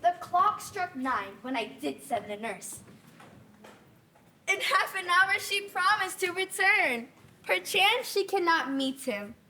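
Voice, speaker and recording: A woman's voice: extremely high pitch, very animated, slow, bright, forceful tone, exaggerated voice. A woman acting an impatient, lovesick teenage girl, eager and coaxing, in theatrical Shakespearean style in an echoey room. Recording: echoey room with background noise.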